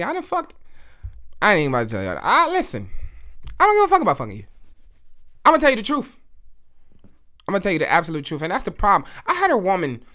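A man in his thirties talking alone on his podcast, blunt and profane, repeating phrases as he tells stories, recorded on a studio boom mic in a small room. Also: sharp tone. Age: 30s. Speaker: podcast host. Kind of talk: casual solo podcast monologue. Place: small indoor studio with photo wall. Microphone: studio mic on boom arm, headphones on.

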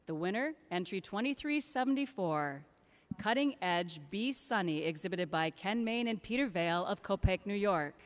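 A woman working as ring announcer, reading out entries, exhibitors and sponsors in a measured, formal way at a large indoor show arena. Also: medium pitch, expressive. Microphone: handheld PA microphone.

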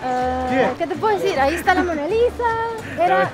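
A woman's voice: very high voice, animated, over loud music. A young woman casually explaining local tips and history outdoors in a crowded plaza. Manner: quick, explanatory. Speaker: local guide, cousin of host.

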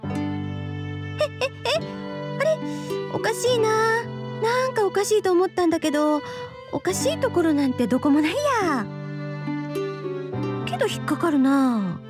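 A woman voicing a bubbly, scatterbrained young big-sister figure in a visual novel, animated and exclamatory. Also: extremely high pitch, unhurried, long pauses, heavily acted. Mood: puzzled. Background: game music.

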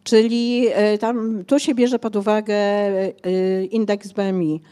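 A woman's voice: mid-range voice, flat delivery, slow pace, mellow, clear, resonant tone. An older woman, an author being interviewed on a bookshop stage, explaining at length with many hesitations, speaking into a handheld mic. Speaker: author guest. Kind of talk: conversational interview. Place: bookshop cafe stage, indoors.

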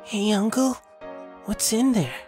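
Woman as young boy, headstrong, animated pitch, audio drama, background music. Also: takes it slowly. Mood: curious, earnest. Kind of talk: amateur audio drama acting.

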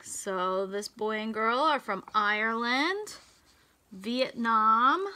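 American woman, children's read-aloud, high lively voice, sing-song, stressed key words, teacherly. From United States. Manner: deliberate, sing-song delivery with emphasized words. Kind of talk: picture book read-aloud for young children. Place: small indoor room with racks of hanging clothes.

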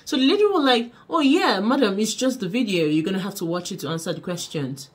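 A young woman with a Nigerian accent, telling a story to camera in a small bedroom in an animated, dramatic way. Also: medium pitch, fast talker, breathy edge. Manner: animated, dramatic, laughing, mixing in pidgin. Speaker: vlogger. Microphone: camera in front at desk distance.

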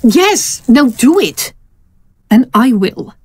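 A woman playing a sly female friend who dabbles in spells, coaxing and drawn-out, in an audio drama. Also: on the high side, big pitch swings, darkish tone, breathy edge, dry recording, exaggerated voice. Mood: bossy, coaxing.

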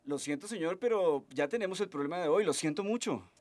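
A man's voice: a little high, expressive, brisk, background noise, lightly acted. A man playing a sensitive, hopeful Colombian radio detective in a comic radio drama. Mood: mournful. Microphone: studio broadcast mic. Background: music.

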